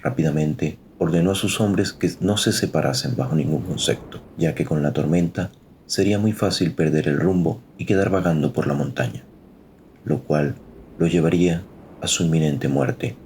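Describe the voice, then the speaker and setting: A man's voice: extremely low pitch, normal speed, a little mellow, a little hiss or hum. A man narrating a scripted dramatic story as its storyteller.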